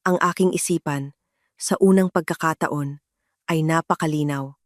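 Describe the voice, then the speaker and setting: Casting: female narrator for dramatic first-person audio stories, slightly low voice, studio-dry sound. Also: steady tone, moderate pace, no room sound. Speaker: story narrator.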